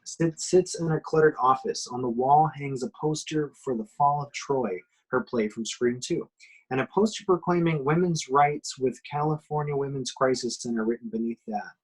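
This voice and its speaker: Male narrator, mid-pitched voice, screenplay table read, dramatic scene description, occasional stumbles. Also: moderate pace, husky, rough recording. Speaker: action description reader in a script table reading.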